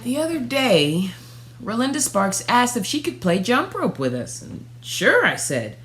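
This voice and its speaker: A woman in her thirties reading a picture book aloud for children, with expressive, deliberate phrasing. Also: fairly high pitch. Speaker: storytime reader. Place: small furnished room at home, indoors. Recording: faint background noise and hum.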